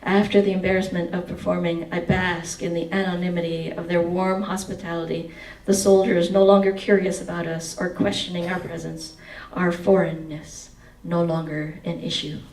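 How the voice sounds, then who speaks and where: A woman's voice: lowish voice, moderate pace, echoey room, far from the mic, low-quality recording. A woman in her forties reading aloud from her memoir with a headset mic, then answering audience questions. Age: 40s. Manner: expressive reading, sings snatches of song. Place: indoor meeting room.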